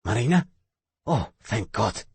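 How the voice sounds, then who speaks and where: Male narrator as a menacing older uncle, low raspy voice, coaxing. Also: big pitch swings, a little slow, no echo, full character voice.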